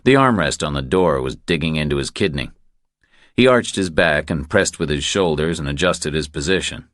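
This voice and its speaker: A man's voice: a bass voice, animated, normal speed, a bit bright, dry recording, studio quality. A man narrating a crime thriller audiobook from a script.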